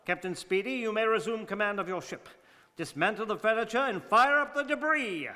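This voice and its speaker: Man acting high, imperious gentleman traveller. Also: a little fast. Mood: cool, insistent. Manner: formal, imperious. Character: cool, unflappable English gentleman traveller, commanding and courteous. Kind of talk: stage radio-drama acting. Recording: hall reverb, community studio performance.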